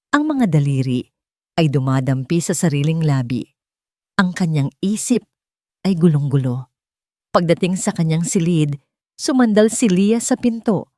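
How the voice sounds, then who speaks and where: A woman's voice: lowish voice, dark tone, no room sound, studio quality. A woman with a Filipino accent reading a dramatic romance story as a scripted audiobook narration.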